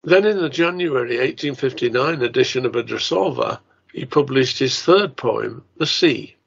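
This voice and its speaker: A man in his seventies speaks as a writer and lecturer, formal and measured, as if reading from a prepared script or reciting verse. His pitch sits in an ordinary male range. The recording is a little dull and lo-fi, like a webcam indoors. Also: lively intonation, creaky, breathy edge. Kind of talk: scripted talk and poetry recital.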